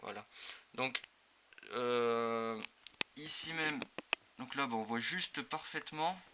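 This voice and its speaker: A man's voice: medium pitch, takes it slowly. A man giving a casual, improvised and somewhat hesitant hands-on product demonstration for a video. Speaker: motorcycle gear video reviewer. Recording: clearly noisy amateur video recording.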